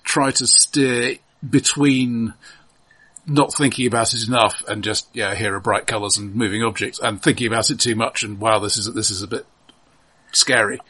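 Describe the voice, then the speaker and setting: Husky man explaining things haltingly. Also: fairly low pitch, fairly even intonation, bright, forceful tone. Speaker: podcast co-host. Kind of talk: casual film discussion and plot recap.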